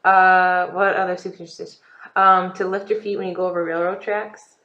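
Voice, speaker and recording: A woman's voice: lowish voice, level tone, moderate pace. A woman co-hosting a podcast in casual chat, teasing her partner and laughing often. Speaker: podcast co-host. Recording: echoey, dull lo-fi sound.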